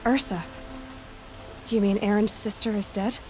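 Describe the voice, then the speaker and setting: A woman's voice: medium pitch, steady tone, unhurried, a little mellow, faint music behind, lightly acted. An actress playing a determined young woman hunter in a video game, speaking firmly and directly. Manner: firm and direct. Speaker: protagonist. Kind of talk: game cutscene acting. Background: game score.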